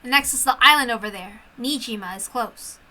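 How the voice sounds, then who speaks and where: A woman's voice: fairly high pitch, fairly quick, sharp tone. A woman reading a visual novel aloud as a dramatic narrator, with casual asides. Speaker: story reader.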